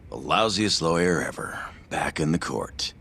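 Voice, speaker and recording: A man voicing a gruff, blunt tough guy in an English game dub. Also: very deep voice, animated, measured pace, fairly bright tone, full character voice. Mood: mocking.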